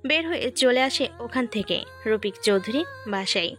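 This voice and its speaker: A woman tells a scripted story as its narrator. Her voice is fairly high, and music plays underneath. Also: animated, moderate pace, a bit bright.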